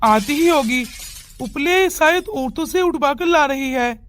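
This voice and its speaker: A woman's voice: high voice, measured pace, a bit bright, no room sound. A woman voicing a polite, puzzled young village man in a cartoon, speaking earnestly, with music behind. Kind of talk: Hindi cartoon moral story dialogue.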